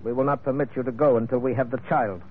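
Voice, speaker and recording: An actor playing a stern, menacing Tibetan emissary in an old radio drama, with stiff, formal phrasing. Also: male voice, mid-range voice, fairly even intonation, fast talker, over loud music, natural voice. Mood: threatening. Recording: tinny vintage radio recording.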